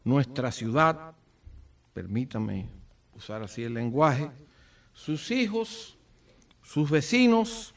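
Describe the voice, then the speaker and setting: A Cuban man with a Cuban accent giving a formal commemorative speech, solemn and rhetorical, with deliberate phrasing and dramatic pauses. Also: mid-range voice, lively intonation. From Cuba. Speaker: speaker at a book presentation. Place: event at an office venue. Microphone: far from the speaker. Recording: distant microphone.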